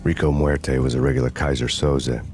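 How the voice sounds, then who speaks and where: An actor playing a weary, hard-boiled fugitive cop, narrating a noir video game in a terse deadpan. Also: male voice, rumbling low, moderate pace, soft background music. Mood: grim, deadpan.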